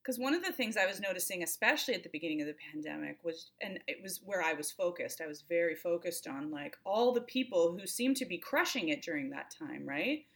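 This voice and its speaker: A woman's voice: lowish voice, expressive, a little fast, hardly any pauses, fairly bright tone. A woman co-hosting a reflective conversation, talking thoughtfully and searching for words as she repeats and reworks her phrases. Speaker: co-host. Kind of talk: reflective conversational talk on self-care.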